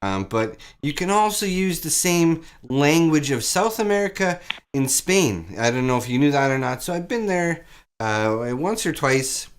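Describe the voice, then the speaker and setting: A man's voice: a little high, big pitch swings, measured pace, smooth. A man hosting a comedic public-access call-in show, offhand and fumbling, often echoing what his callers say. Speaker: host.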